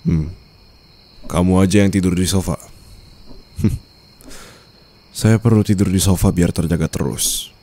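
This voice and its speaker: Casting: male actor as a domineering mafia boss for ASMR roleplay, extremely low and gravelly, cold, deliberate, teasing. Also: mellow. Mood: firm, commanding. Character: cold, commanding young-to-middle-aged male mafia boss, teasing new husband. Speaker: roleplay voice performer.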